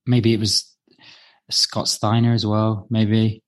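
Low-voiced man in his late thirties, hesitant. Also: completely flat delivery, slow. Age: late 30s. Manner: hesitant, self-deprecating, trailing off. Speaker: podcast co-host. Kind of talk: casual chat.

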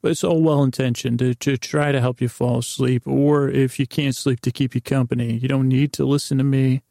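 A man hosts a sleep podcast in a mellow, dark voice thick with vocal fry. His delivery is a soft-edged, meandering drawl that keeps interrupting itself and wandering into silliness. The intonation stays quite even throughout. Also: medium pitch, dry recording, clean studio sound. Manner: meandering, halting, silly, self-interrupting. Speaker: podcast host. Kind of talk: rambling bedtime podcast intro.

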